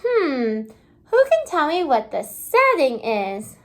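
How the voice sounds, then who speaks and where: A woman kindergarten teacher reading a story aloud to young children, expressive and pausing to prompt them with questions. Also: high-pitched.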